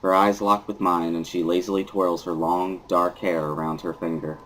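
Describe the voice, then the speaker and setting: A man's voice: sits low, flat delivery, normal speed, a bit bright, rough recording. A man reading a story aloud as a dramatic first-person narrator. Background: guitar music.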